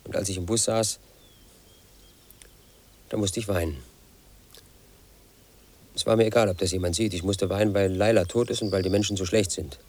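A brooding, paranoid loner. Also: male voice, sits low, normal speed, pauses often. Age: 30s. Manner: flat, brooding, quietly obsessive. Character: brooding paranoid man in his late thirties. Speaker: narrator of his own story. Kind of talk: inner monologue in a radio play.

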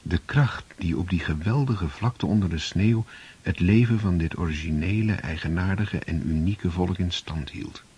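A male narrator with a deep, very husky voice, telling the story in a steady, measured way. His tone stays even and composed. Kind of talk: scripted narration.

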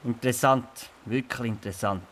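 An actor playing a condescending official who questions an applicant in a clipped, probing way in a German radio play. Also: male voice, mid-range voice, brisk, fairly bright tone, a little hiss or hum, close to an everyday voice. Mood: coolly probing.

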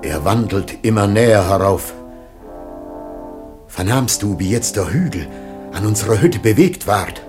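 An aged biblical patriarch in a solemn radio play. His voice is very low and markedly slow, with grave, lamenting declamation as he faces death in dread. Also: lively intonation, music in the background. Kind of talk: solemn classical German radio drama.

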